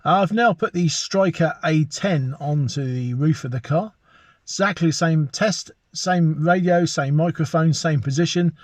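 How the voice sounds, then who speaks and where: A man with a British accent and a slightly high-set voice presents a hobby review. His delivery is that of an enthusiastic reviewer, and the sound is dry with very little room in it. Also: a little slow, no room sound. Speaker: presenter of radio hobby videos. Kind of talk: enthusiastic product review narration.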